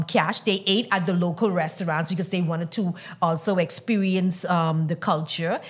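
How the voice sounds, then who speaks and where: A woman leads a panel discussion. Her voice sits on the low side, and she makes her points deliberately, with firm emphasis.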